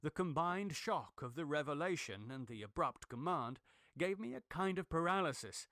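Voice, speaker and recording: A man reading literary horror as a dramatic narrator, in a measured, grave and deliberate way. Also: fairly high pitch, animated, a bit bright, dry recording, clean studio sound. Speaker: narrator, audiobook reader.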